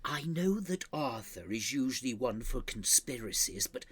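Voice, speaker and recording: An actor playing an anxious, sentimental old care home resident in an audio drama, with a husky, halting delivery. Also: male voice, mid-range voice, very animated. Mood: worried. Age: older. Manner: hesitant, halting.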